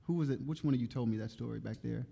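A man, a jazz bandleader, talking casually to a live audience from the stage, relaxed and full of restarts. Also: medium pitch, rapid-fire, creaky. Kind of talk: casual stage announcement at a concert. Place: stage of a concert hall before a live audience. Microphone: stage vocal mic on a stand. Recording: roomy hall sound with audience noise.

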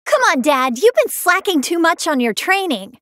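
A woman's voice: very high-pitched, very animated, moderate pace, fairly bright tone, no room sound, studio quality, heavily acted. An American actress voicing a feisty, confident teenage girl martial artist with brash, emphatic delivery for an anime fighting game. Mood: scolding, upbeat.

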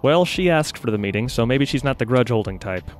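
A man's voice: medium pitch, lively intonation, fast talker, lightly acted. A man playing a shrewd mage in a fantasy audio drama, with a terse, dry manner. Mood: dry, probing. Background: music.

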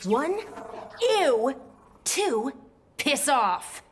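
A woman's voice: high voice, very animated, extremely slow, full character voice. An actress playing a cocky young female soldier in a fighting game, with an American accent and a casual, punchy delivery. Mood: sarcastic. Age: young adult. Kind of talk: video game fighter banter. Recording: game ambience noise behind.

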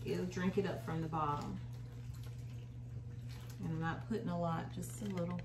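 A woman's voice: on the low side, flat delivery, moderate pace, creaky. An American woman giving a casual, folksy how-to demonstration as the host of a home gardening video. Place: small indoor room at home. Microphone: camera a short distance away. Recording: roomy, distant mic, faint noise.

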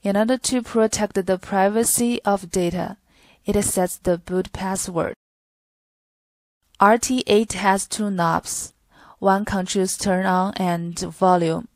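A woman's voice: mid-range voice, steady tone, slow, no echo. A woman reading a scripted product tutorial in a stilted, phrase-by-phrase way. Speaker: product demonstrator.

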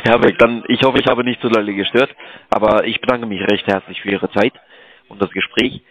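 A man with a lowish voice works through a polite sales call. He is formal and deferential, often apologetic, and hesitates as he goes. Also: fairly even intonation, a little fast, fairly bright tone, poor audio. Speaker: cold-calling sales agent.

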